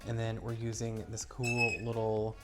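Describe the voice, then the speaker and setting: A man's voice: on the low side, completely flat delivery, a little slow, music in the background. A man getting over a cold, sounding stuffy and a bit wheezy, cheerfully walking through a hands-on demonstration step by step. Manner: upbeat, explains each step as he works. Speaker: maker demonstrating a product. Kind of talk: casual how-to demonstration.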